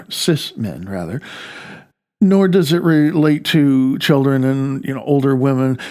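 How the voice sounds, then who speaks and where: A man reading his own nonfiction audiobook as its author, with deliberate phrasing and dramatic pauses. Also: medium pitch, creaky, a little mellow. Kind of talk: audiobook narration.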